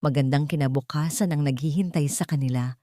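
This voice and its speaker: A woman's voice: low-pitched, fairly quick, warm tone, dry recording, clean studio sound, lightly acted. A woman voicing a cold, falsely polite, menacing wealthy female villain in an audio story. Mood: menacing threat. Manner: venomous, controlled, threatening.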